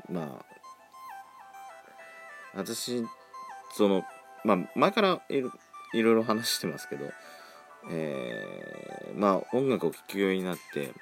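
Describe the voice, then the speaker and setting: Chatty low-voiced man hosting a podcast. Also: wildly swooping pitch, slow, vocal fry, pauses often. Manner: chatty, campy feminine phrasing. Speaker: podcast host. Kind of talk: casual solo chat. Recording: faint background music.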